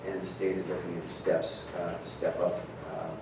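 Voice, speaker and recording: A man with a very deep, flat voice reads a halting report to the council, full of fillers. He is heard from afar in an echoey chamber. A layer of hiss runs underneath. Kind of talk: formal meeting report. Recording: echoey distant room mic with hiss.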